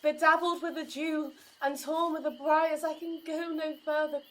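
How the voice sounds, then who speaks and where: Weary lovesick maiden, actress, high voice, plaintive classical verse for an audio play. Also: female voice, little pitch movement, moderate pace, fairly bright tone. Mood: exhausted woeful. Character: exhausted, woeful young woman in love. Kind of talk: Shakespearean audio drama.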